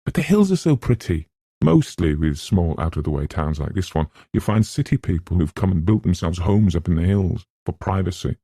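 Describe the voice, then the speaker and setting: A man's voice: sits low, lively intonation, normal speed, dark tone, husky, dry recording, clean studio sound, exaggerated voice. A man voicing an anxious, chatty woman from the city in a novel, with tentative, polite manners. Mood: careful probing.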